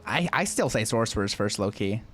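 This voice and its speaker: A woman streaming a game with casual, slangy commentary. Her voice is remarkably deep yet moves around a lot in pitch, laid-back in manner, with faint music behind. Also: expressive, a little fast.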